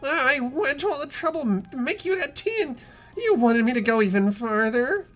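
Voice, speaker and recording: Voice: sits high, lively intonation, moderate pace, faint music behind, pitched above the performer's own voice. A performer voicing a flustered, whiny, servile lackey in a visual novel game. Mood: indignant whining. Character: flustered, whiny, servile butler calling himself little piggy.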